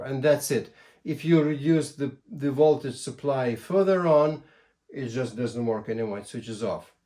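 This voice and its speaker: A man giving casual instructional talk as a hobby video host, in a halting way with repeated words. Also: on the high side, a little mellow. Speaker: amateur radio video host.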